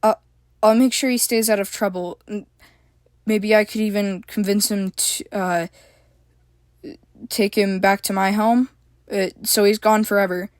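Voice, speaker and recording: A woman voicing a frightened, anxious young girl who stammers and rushes her words. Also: flat delivery, dry recording, clean studio sound, natural voice. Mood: hesitant. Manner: stammering, frantic, high-pitched.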